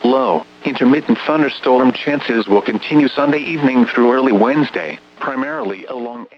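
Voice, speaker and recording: A man's voice: mid-range voice, moderate pace. Male weather radio voice, formal scripted alerts, lo-fi and a bit staticky. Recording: dull, noisy weather radio broadcast.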